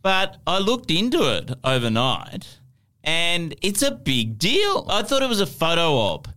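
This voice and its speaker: A man with an Australian accent co-hosting satirical news banter, excitable and given to mock-grand emphasis. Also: sits high, unhurried, clean tone. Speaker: podcast co-host.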